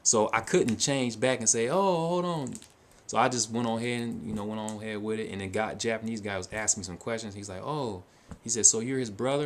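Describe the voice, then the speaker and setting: A man's voice: lowish voice, a little hiss or hum. A man telling a long, casual story to his viewers in a loose way, full of fillers and restarts. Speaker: vlogger answering viewer questions.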